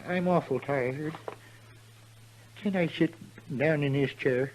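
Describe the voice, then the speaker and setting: A man's voice: fairly high pitch, slow, slight background noise, poor audio. An actor playing a frail, tired old man who tells his tale in a folksy drawl, in a 1950s western radio drama. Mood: weary, confiding. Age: older. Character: weary old man, proud tall-tale teller, dying. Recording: vintage 1950s radio recording.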